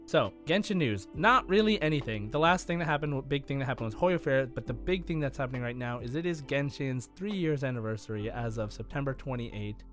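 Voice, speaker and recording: A man with a medium-pitched voice hosts a casual gaming podcast. He speaks with enthusiasm, in halting bursts with emphatic stresses, over faint music. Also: lively intonation. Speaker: podcast host and quizmaster.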